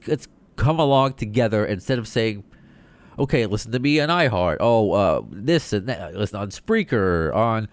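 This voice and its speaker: A man from the United States hosting a solo podcast, talking in an excited, rambling way with many restarts. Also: fairly low pitch, no room sound. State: short on sleep. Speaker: podcast host.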